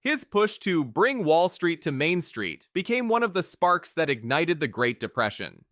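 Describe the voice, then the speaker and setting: A man reads out quiz questions and answers in a scripted, energetic way. His voice is bright and slightly high, and his pitch swings widely for emphasis. The sound is close and dry. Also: normal speed, no echo. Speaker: quiz show narrator.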